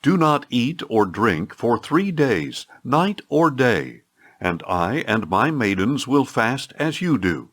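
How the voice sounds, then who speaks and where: A man voicing a resolute, courteous queen in an audiobook scripture reading, measured and earnest. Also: medium pitch, big pitch swings.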